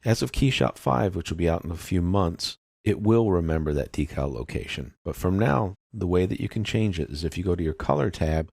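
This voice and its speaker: A man with a deep voice and a noticeable creak walks through software step by step. He explains informally, as if thinking aloud while he works. The sound is dry, with very little room in it. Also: animated, brisk, few pauses, no room sound. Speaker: software tutorial instructor. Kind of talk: casual step-by-step screen tutorial.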